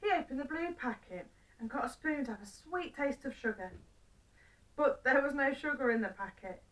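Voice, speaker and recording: A woman with a fairly high voice narrates a children's story. She uses simple phrases and deliberate pauses, recorded slightly away from the microphone. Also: animated, a bit bright, far from the mic, poor audio.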